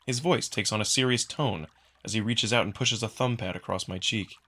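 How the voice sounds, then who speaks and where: A man's voice: on the low side, brisk, pushed and bright. A man narrating a visual novel in the first person with scripted, dramatic delivery. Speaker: narrator and voice performer.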